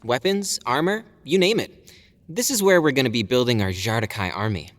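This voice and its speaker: A man's voice: mid-range voice, expressive, a little fast, lightly acted. A man playing a proud, cocky warrior in a fantasy audio drama, explaining things in a breezy, boastful way. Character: cocky, proud male warrior showing off his powers. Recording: reverberant hall, faint music behind.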